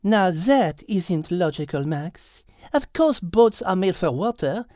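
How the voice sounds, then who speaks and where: A woman's voice: lowish voice, big pitch swings, warm tone, no room sound, studio quality. Logical, composed French lady cat. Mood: analytical. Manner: measured, precise, reasoning aloud. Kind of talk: audiobook character acting.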